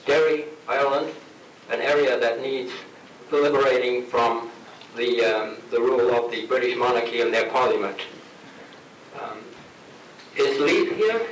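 A man introducing speakers at a rally, halting with restarts as he talks. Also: mid-range voice. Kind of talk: public address at a rally. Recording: echoey, distant, noisy outdoor recording.

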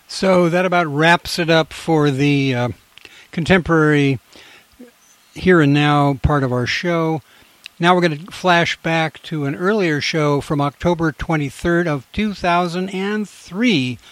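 A man's voice: a little high, a little slow, a bit bright, no echo. A man hosting a community radio show, talking casually and rambling with restarts. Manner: rambling, many fillers and restarts.

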